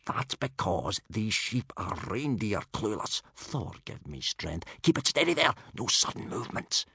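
A man's voice: fairly low pitch, big pitch swings, measured pace, gravelly, pushed and bright, breathy edge, dry recording, clean studio sound, exaggerated voice. A man voicing a bossy, blustering Viking instructor, commanding and exasperated, in an audiobook story.

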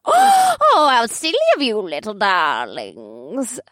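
A woman voicing a hideous old forest hag with bark skin, shrill, mocking and vicious, in a dramatized audiobook. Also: wildly swooping pitch, fairly bright tone, dry recording, clean studio sound, exaggerated voice. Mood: mocking menace. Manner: cackling, drawn-out taunting.

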